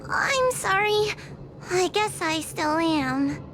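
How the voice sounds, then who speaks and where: A woman's voice: very high voice, expressive, slow, background noise, heavily acted. A woman playing a timid, tearful young fox girl in a video game, sweet and apologetic, with a hesitant, trembling, plaintive delivery. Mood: relieved, timid. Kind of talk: animated video game voice acting. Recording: voice over loud game music. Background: game music.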